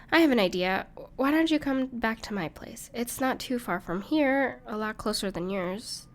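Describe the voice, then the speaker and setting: A woman playing a gentle, caring dragon friend in an intimate audio roleplay, speaking reassuringly to the listener with some hesitation. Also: fairly high pitch. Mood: concerned, gentle. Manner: soft-hearted, reassuring, hesitant with small laughs.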